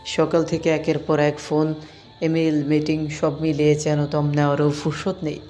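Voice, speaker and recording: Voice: mid-range voice, completely flat delivery, normal speed, dark tone. A narrator reading a scripted love story, with music underneath.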